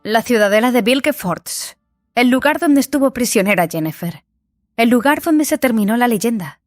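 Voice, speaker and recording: A woman playing an impulsive, curious young apprentice in a fantasy audiobook, excitable and full of questions. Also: fairly high pitch, moderate pace, no room sound, clean studio sound, soft background music, natural voice. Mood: awed. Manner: excitable, inquisitive.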